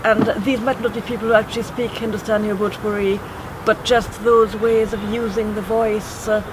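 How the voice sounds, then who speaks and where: A poet from Trinidad in reflective conversation, speaking in a measured, thoughtful, erudite way outdoors by a city waterfront. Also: male voice, high-pitched, flat delivery. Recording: outdoor recording with traffic noise.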